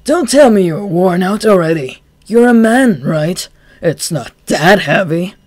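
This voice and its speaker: A man voicing a brash, hot-headed young man who taunts his enemies loudly and cockily. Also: on the high side, moderate pace, dry recording, lightly acted. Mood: taunting. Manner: loud, cocky, taunting.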